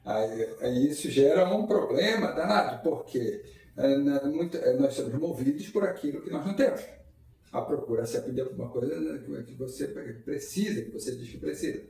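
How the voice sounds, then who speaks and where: A man's voice: medium pitch, animated, moderate pace, vocal fry, warm tone. A man giving an informal public lecture at a spiritist center, talking conversationally and putting rhetorical questions to the audience. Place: lecture hall. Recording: echoey hall, mic far off.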